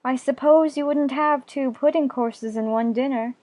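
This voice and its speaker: A man voicing a polite, curious young girl in a high falsetto for a storybook reading. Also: moderate pace, a little mellow. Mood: earnest.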